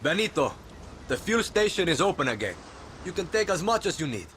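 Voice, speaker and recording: An actor playing a cocky, sarcastic guerrilla fighter in a video game. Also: male voice, on the high side, sing-song, fairly quick, noisy background. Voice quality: sarcastic, offhand. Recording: game music loud behind.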